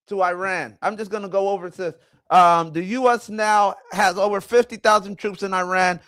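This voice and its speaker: A man's voice: high-pitched, fairly bright tone, clean tone, no echo. A man, hosting a casual livestream, rambling and greeting his chat as he goes. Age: 40s. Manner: rambling, talks to chat, self-correcting. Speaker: livestream host.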